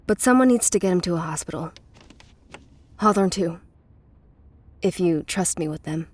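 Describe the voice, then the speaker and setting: An actress playing a weary, guarded middle-aged woman with a bitter past in a naturalistic, measured audio drama performance. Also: lowish voice, animated, a little mellow, breathy edge. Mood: guarded, cold.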